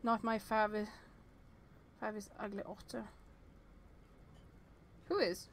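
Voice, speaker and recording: A woman's voice: medium pitch, flat delivery, slow, slight background noise. A woman in her thirties streaming a visual novel, chatting and thinking aloud with hesitant restarts. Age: 30s.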